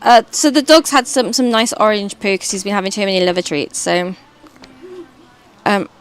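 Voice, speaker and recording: A British woman with a high voice, streaming and chatting casually while gaming, rambling and swearing now and then. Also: moderate pace, smooth. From Britain.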